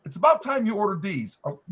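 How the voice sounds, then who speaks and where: A man's voice: high voice, fairly bright tone. A middle-aged man chatting casually as a livestream guest on a video call, folksy and emphatic, in dull lo-fi call audio. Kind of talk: casual chat.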